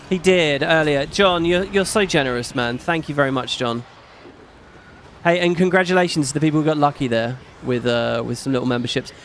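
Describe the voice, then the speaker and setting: A middle-aged man with a British accent, chatting casually on his live stream; his voice is fairly high and not macho, and he rambles and swears casually. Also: creaky, fairly bright tone, a little hiss or hum.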